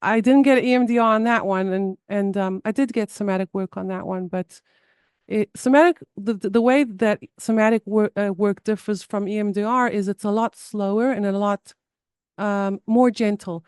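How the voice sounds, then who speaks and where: South African woman, smooth dry voice. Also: medium pitch, steady tone, moderate pace, a little mellow, no echo. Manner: earnest, with frequent restarts and repeated words. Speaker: guest, somatic therapist. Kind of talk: conversational interview.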